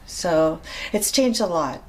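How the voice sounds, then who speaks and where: A woman's voice: on the low side, animated, takes it slowly, darkish tone, poor audio. A middle-aged woman from Japan with a Japanese accent, speaking hesitantly with many fillers as a guest in a casual interview. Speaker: interview guest, rope bondage practitioner.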